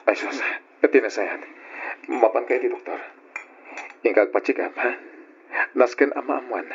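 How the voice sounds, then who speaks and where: A man's voice: mid-range voice, measured pace, slight background noise, close to an everyday voice. A man playing a caring, take-charge young boyfriend in an old Ilocano radio drama, with an Ilocano accent. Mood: concerned, insistent. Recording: dull lo-fi radio recording.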